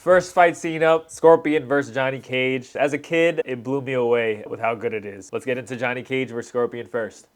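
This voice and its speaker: A man in his thirties hosts a casual reaction video in a mid-pitched voice. He comments like a keen fan, dropping slangy asides as he goes. Music plays faintly behind him. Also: steady tone, normal speed, hardly any pauses.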